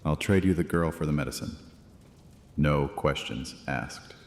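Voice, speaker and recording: A man playing a scheming, insistent high priest in a fantasy audio drama, theatrical, persuasive and sardonic. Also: a little slow, creaky, exaggerated voice. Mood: pressing, sly. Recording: long cavernous reverb, music underneath.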